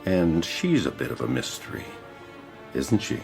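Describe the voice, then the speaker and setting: An actor playing a calm, perceptive confidant in a radio drama, knowing and gently probing. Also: male voice, very deep voice, unhurried, gravelly, over music, exaggerated voice. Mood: attentive, intrigued.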